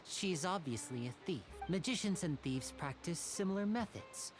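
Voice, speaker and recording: A young male stage magician in a video game, confident and sly. The voice sits fairly low and moves with theatrical, lively swings. Music plays clearly behind it. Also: unhurried. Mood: amused, knowing.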